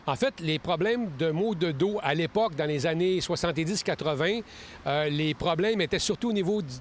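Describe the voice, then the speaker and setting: Middle-aged Quebec man, kinesiologist guest, earnest and explanatory, slightly raised pitch, recorded outdoors on a handheld mic. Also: normal speed, slight background noise. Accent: Quebec French. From Quebec, Saguenay-Lac-Saint-Jean. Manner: earnest, explanatory, with frequent restarts. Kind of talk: casual interview answers. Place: outdoors in a leafy park. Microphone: handheld mic held close.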